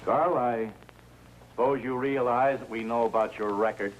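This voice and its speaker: An actor playing a calm, probing police lieutenant in a 1950s TV crime drama, speaking in a measured, drily sardonic way. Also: male voice, mid-range voice, lightly acted. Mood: firm, confronting. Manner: measured, dry, probing questioning. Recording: noisy 1950s TV soundtrack.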